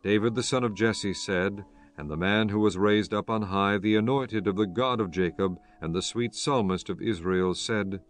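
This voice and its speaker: A male narrator with a lowish voice reads scripture as formal storytelling. His delivery is solemn and measured, clearly scripted. Music runs gently underneath the narration. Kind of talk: scripted narration of dramatized audio Bible.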